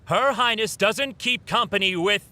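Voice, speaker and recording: Sharp, scornful royal guard. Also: male voice, high-pitched, measured pace, full character voice. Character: gruff, officious male royal guard, scornful. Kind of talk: anime game voice acting. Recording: music behind the voice.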